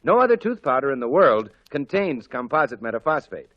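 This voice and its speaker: A man's voice: mid-range voice, sing-song, brisk. An American man announcing on 1940s radio in an emphatic, folksy, salesmanlike scripted style. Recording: muffled old 1940s radio broadcast.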